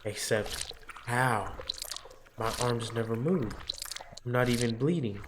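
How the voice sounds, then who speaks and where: A man's voice: medium pitch, slow pace, background noise, natural voice. A man voicing an anguished, macabre young woman with amnesia in a visual novel, theatrical and brooding, easily bewildered. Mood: brooding, pained. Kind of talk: dramatic first-person visual novel narration.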